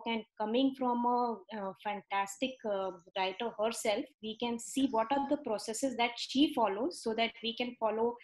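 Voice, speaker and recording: A woman hosts an online session and introduces its guest in English, which is not her first language. Her voice sits a little high, and she fills her pauses with ums and you-knows. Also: low-quality recording. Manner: warm, thankful introduction with fillers. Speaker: session host.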